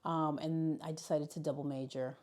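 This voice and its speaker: A woman from New York City, a high school learning specialist, reflecting on her path into teaching in an interview, with frequent restarts and fillers. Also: flat delivery, moderate pace, a little mellow. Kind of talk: interview conversation.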